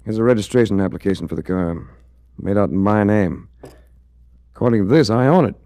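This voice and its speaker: Low, creaky suave American radio detective. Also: male voice, expressive, a little fast, dark tone, no echo. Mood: wry, shrewd. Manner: smooth, dry, worldly understatement. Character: suave, unflappable male adventurer-detective, wry and shrewd. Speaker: lead actor and first-person narrator in a radio detective series. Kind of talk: 1940s radio drama acting and narration.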